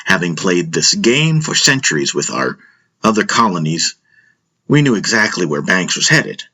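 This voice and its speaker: Audio drama role: seasoned spy lecturer played by a man, low and husky, frank, wry, cynical. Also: big pitch swings, sharp tone, heavily acted. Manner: wry, measured, musing.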